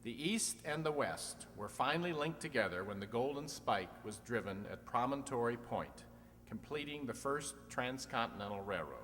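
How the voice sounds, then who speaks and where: A man's voice: mid-range voice, animated, normal speed, a bit bright, natural voice. A man playing an old-time radio quiz show host, putting questions to contestants in a reverberant hall. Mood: measured. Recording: echoey hall, long reverb.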